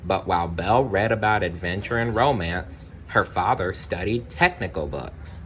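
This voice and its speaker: A man reading a children's story aloud, with expressive, deliberate narration and the odd personal aside. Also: sits low, slight background noise. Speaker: storybook reader.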